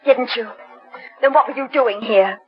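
An actress playing a suspicious, accusing wife in a 1940s radio melodrama. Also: female voice, fairly high pitch, a little slow, soft background music, natural voice. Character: suspicious, accusing wife confronting her rival. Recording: muffled 1940s radio recording.

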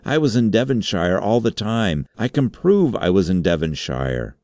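A reader acting a frantic craven man, pitched high. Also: big pitch swings, normal speed, a little mellow, no echo. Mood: panicked, protesting. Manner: stammering, frantic, wailing. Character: terrified craven guilty man, an undersecretary, pleading. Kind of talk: audiobook character acting.

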